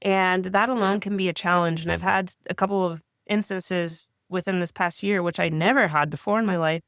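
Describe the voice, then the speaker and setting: A woman in her thirties talks as an interview guest while still recovering from a cold. Her voice sits a little low and comes through smooth and clean. She speaks in a measured, reflective way, and the sound is close and dry. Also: fairly even intonation, no room sound. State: getting over a cold. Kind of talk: interview conversation.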